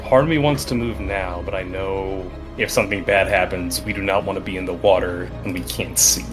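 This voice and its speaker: A man's voice: low voice, steady tone, a little fast. A man playing a tabletop game, chatting casually with an insistent, grumbling manner. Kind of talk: casual improvised game banter. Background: music.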